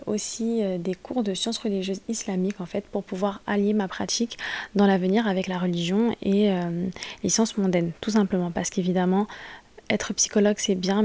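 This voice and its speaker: A young woman in her late twenties talks into a podcast microphone in a loose solo monologue. She is spontaneous, hesitates often, and keeps restarting her sentences. Her voice sits in an ordinary middle pitch. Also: warm tone. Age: late 20s. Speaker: podcast host.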